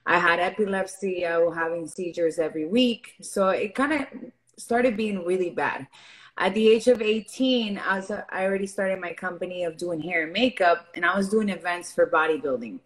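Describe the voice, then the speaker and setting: A woman's voice: fairly low pitch, normal speed, low-quality recording. A young woman from Venezuela, a fitness coach and guest on a podcast, talking openly and earnestly with many fillers. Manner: open, earnest, filler-heavy. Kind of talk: casual interview chat.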